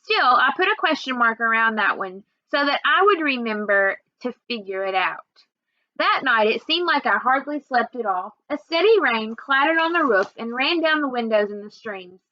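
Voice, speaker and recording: A woman reading a children's novel aloud in an expressive storytelling way. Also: a little high, moderate pace, dry recording, highs cut off. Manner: expressive, acts out dialogue. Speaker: reader.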